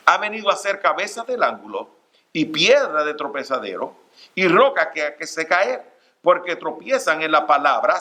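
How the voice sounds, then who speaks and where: Man, middle-aged, preacher, church sermon, earnest, bright tense tone, slightly high voice. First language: Spanish. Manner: earnest, addresses listeners as brothers, frequent fillers. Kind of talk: Spanish-language church sermon. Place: church sanctuary.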